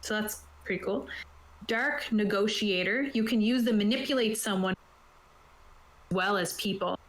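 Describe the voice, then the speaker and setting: A woman's voice: medium pitch, moderate pace, a bit bright, reverberant, poor audio. Woman casually reading tabletop game rules aloud. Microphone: own microphone on an online voice call.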